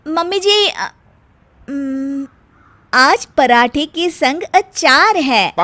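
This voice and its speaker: A woman voicing a cheerful, cheeky young daughter-in-law in a story, replying in sing-song rhymes with drawn-out endings. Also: high voice, expressive, sharp tone, heavily acted. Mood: playful, cheerful.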